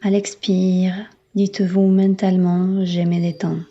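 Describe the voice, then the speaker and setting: A woman yoga instructor leading a guided relaxation in a calm, soothing, unhurried manner with long pauses. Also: lowish voice, lo-fi sound. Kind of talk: guided meditation, yoga nidra.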